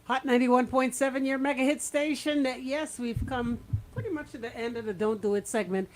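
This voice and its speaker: A woman's voice: high-pitched, brisk. A woman hosting a radio segment in a casual, chatty style. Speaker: radio host.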